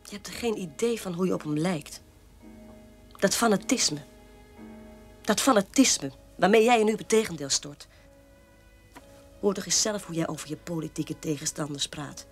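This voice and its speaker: A woman's voice: on the low side, normal speed, long pauses, natural voice. Woman acting snarky needling sister in a radio play, scornful, bit of music behind her. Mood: mocking. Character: mocking, sharp-tongued sister defending her father.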